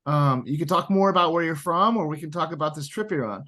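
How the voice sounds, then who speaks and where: A man's voice: on the high side, lively intonation, quick pace, bright, forceful tone. A man hosting a pool podcast, asking easygoing questions in a casual interview. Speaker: podcast host.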